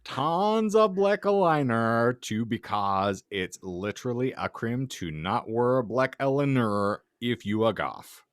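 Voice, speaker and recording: A man hosting a podcast, reading bad fan fiction aloud with deadpan, exasperated banter. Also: medium pitch, slow pace, few pauses, dry recording, clean studio sound. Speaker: podcast host.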